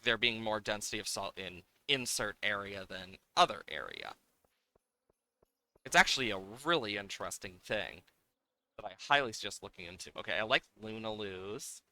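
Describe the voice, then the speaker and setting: A man's voice: mid-range voice, pushed and bright, no echo. A man livestreaming a game, talking casually and playfully as he thinks aloud in a rambling way. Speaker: livestreamer, host. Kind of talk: casual live game-stream chat, reacting to game and viewers.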